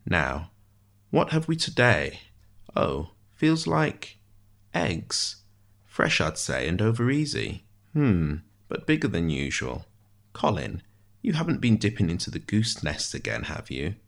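A man's voice: sits low, wildly swooping pitch, unhurried, gravelly, heavily acted. A man voicing a wry, precise, theatrical prisoner with a dry voice in a storybook reading. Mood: wry, playful.

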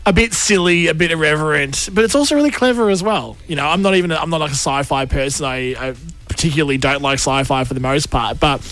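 Male radio host, fairly high-pitched, informal and conversational interviewer. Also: fast talker, a bit bright, faint music behind. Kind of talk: casual chatty interviewing. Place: radio show.

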